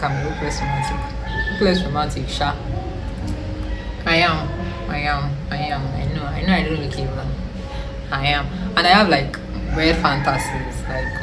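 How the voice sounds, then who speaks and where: Low husky Nigerian woman vlogging. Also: rough recording. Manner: rambling, chatty, mixes in Pidgin slang. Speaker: beauty vlogger. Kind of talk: casual get-ready-with-me chat. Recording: music playing underneath. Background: music.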